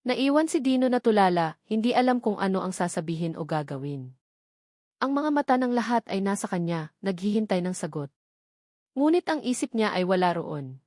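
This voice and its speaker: A woman's voice: medium pitch, very animated, moderate pace, a little mellow, no room sound. Filipino woman narrating a dramatic story, close and dry. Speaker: audiobook narrator.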